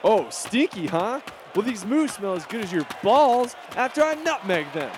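A man's voice: high voice, sing-song, normal speed, a crowd in the background, noisy background. A man playing a bratty, swaggering, foul-mouthed rich schoolboy in a comedy cartoon, cocky and sarcastic. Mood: impatient. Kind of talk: animated comedy voice acting.

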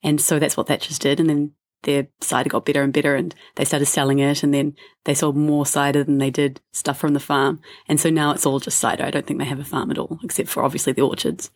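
A woman's voice: low-pitched, level tone, fast talker, hardly any pauses, dry recording, studio quality. A woman co-hosting a travel podcast, telling stories in a chatty, easygoing way. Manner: chatty storytelling with laughs and fillers. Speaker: podcast co-host. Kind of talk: casual travel chat.

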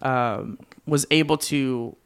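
A man's voice: medium pitch, fairly even intonation, slow, creaky, smooth. A man in his twenties from Southern California, a podcast guest telling his family story in an easygoing way, with many ums and frequent laughs. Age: 20s. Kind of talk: casual conversational interview.

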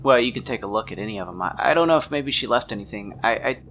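Man playing hesitant, gravelly small-town shop owner. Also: medium pitch, fast, fairly bright tone, a little hiss or hum, close to an everyday voice. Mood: obliging. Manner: hesitant, accommodating. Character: helpful, unassuming male taxidermy shop owner.

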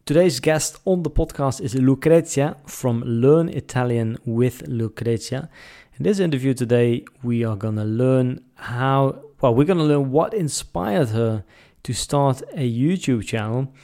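A man's voice: mid-range voice, measured pace, dark tone. A man hosting a podcast, introducing a guest and reading a sponsor message in an upbeat, promotional way. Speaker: podcast host. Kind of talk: podcast interview and sponsor read.

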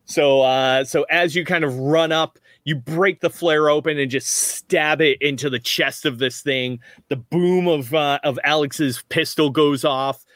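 A man's voice: on the high side, steady tone, a little slow, hardly any pauses, a bit bright, no echo. A man narrating as game master in a tabletop roleplaying game, rambling with frequent restarts. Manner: rambling, many restarts, laughs often.

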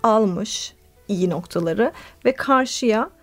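A woman play therapist speaks as a guest on a radio talk show. Her voice sits in the middle range. She explains her views carefully, with frequent fillers and pauses as she thinks. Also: mid-range voice, soft background music. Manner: thoughtful and explanatory, with many fillers. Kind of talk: radio interview.

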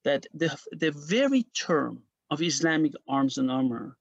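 A man's voice: unhurried, gravelly, slightly rough, no room sound, lo-fi sound. A middle-aged man, a native Persian speaker from Iran, explaining history at length in an interview with emphatic, restarting speech. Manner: emphatic, restarts often, animated pitch jumps. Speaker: historian guest.

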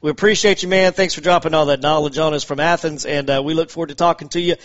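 A man's voice: a little high, fairly even intonation, fast talker, fairly bright tone, muffled recording. A man hosting a sports radio show, upbeat and easygoing. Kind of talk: casual sports radio chat.

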